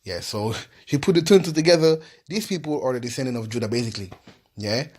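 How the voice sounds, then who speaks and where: Ivorian guy hosting his own channel, reads stuff out and keeps saying yeah, middle pitch. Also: expressive, fast, darkish tone, husky. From Ivory Coast. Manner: loose and repetitive, with frequent "yeah" and "you know what I'm saying" fillers. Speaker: video host. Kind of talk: casual research commentary reading from websites.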